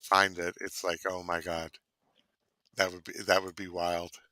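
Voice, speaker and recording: Low, bright-voiced man chatting casually. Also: level tone, measured pace, no echo. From Canada. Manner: rambling, trails off and restarts, savoring words. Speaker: co-host. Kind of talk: casual chat.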